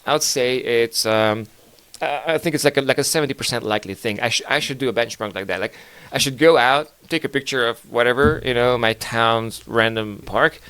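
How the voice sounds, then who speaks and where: A man's voice: medium pitch, fairly quick, a bit bright, smooth. A man with Hungarian as his first language hosting a podcast, rambling through news in a casual, profane, joking way with lots of fillers. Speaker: podcast host.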